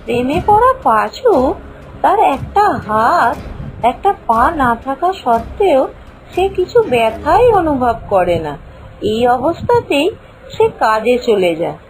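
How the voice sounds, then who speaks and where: A woman's voice: high voice, very animated, slow, slight background noise, poor audio. A woman narrator reading a scripted cartoon story in a storytelling style.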